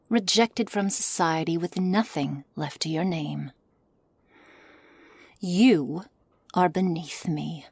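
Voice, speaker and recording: A woman playing a haughty, condescending witch in an ASMR roleplay, speaking softly in measured, formal phrases with a commanding manner. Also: fairly low pitch, no room sound. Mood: mocking, disdainful. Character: cold, haughty witch, imperious captor of a werewolf. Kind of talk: ASMR roleplay, scripted character acting. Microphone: close studio mic, dry.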